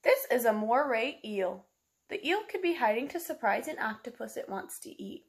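A woman's voice: on the high side, animated, normal speed. A woman, reading a picture book aloud like a teacher, explaining simply to young children and asking them questions. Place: small room at home, indoors.

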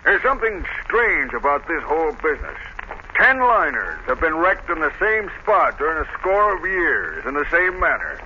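An anxious shipping magnate, brisk and commanding. The voice is highish and piercingly bright. The recording is a muffled, hissy 1930s radio drama. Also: male voice, big pitch swings, full character voice. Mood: brisk, troubled. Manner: brisk, authoritative, insistent. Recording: noisy, dull vintage 1930s radio recording.

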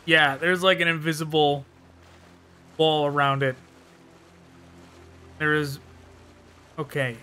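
A man's voice: a little high, fairly even intonation, takes it slowly, pauses often, pushed and bright, clean tone. A man giving casual commentary as he plays through a game. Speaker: gaming YouTuber, let's player. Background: game music and sounds.

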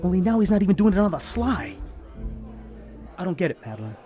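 A man's voice: high voice, sing-song, quick pace, dark tone, faint music behind. A man playing a blunt, pleading, protective friend in a noir radio drama. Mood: exasperated, scolding. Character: exasperated, protective male friend of a singer.

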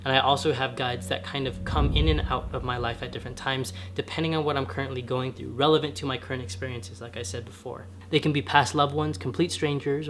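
A young man with a slightly raspy voice, talking earnestly to camera in a casual vlog from his home garage. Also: mid-range voice, fairly bright tone, a little hiss or hum. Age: young adult. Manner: earnest, gesturing with his hands while sitting on the floor. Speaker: vlogger. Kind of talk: casual spiritual vlog talk. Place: home garage, medium-sized, indoors.

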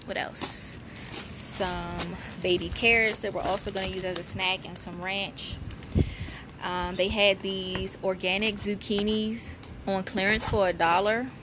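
A woman vlogger narrating a haul casually at home, in a matter-of-fact, halting way as she lists items. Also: medium pitch, fairly even intonation, noisy background. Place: indoors at home, carpeted room.

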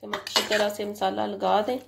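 A woman from Pakistan hosting a cooking channel, talking through a recipe step by step in a plain, even instructional tone. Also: mid-range voice, normal speed, gravelly. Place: home kitchen. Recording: loud kitchen noise, frying and stirring. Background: sizzling and stirring in a pan.

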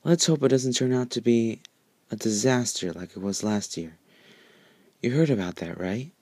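A man's voice: mid-range voice, moderate pace, a little mellow, dry recording, lightly acted. A man voicing a prim, fussy female pony with a reproachful manner in a story reading. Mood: dry, restrained. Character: prim, exasperated female pony roommate, a candy maker.

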